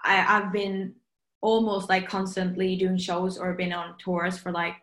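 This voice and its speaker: A woman's voice: fairly low pitch, level tone, measured pace, a bit bright, roomy sound. A young woman from Norway with a Norwegian accent, a singer chatting casually in an interview and saying "like" all the time. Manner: chatty, says "like" constantly.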